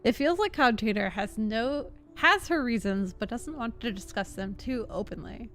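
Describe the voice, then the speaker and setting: Lively, high-voiced woman streaming a game. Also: normal speed, soft background music. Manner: playful, expressive. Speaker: live streamer. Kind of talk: casual gaming stream chat, reading game dialogue aloud.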